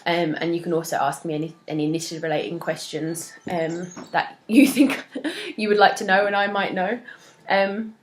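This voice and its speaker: A young woman hosting a knitting podcast, chatting casually and a little stuffed up from a cold, with many ums and soft laughs. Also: lowish voice, little pitch movement, moderate pace, a little hiss or hum. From moved to Australia from elsewhere. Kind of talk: casual solo chat to camera.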